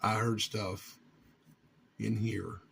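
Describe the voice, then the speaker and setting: A man from North Carolina, talking informally to his viewers in a halting, earnest way with long pauses. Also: on the low side, little pitch movement, creaky, fairly bright tone, a little hiss or hum. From North Carolina, United States. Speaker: vlogger and amateur radio hobbyist.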